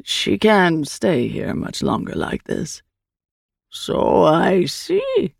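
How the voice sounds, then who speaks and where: A woman voicing a stern, guarded creature in an audiobook story, with drawn-out, deliberate speech. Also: lowish voice, wildly swooping pitch, creaky, no echo, clean studio sound, exaggerated voice. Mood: grudging. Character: the Eye, floating many-armed creature, slow, stern, guarded.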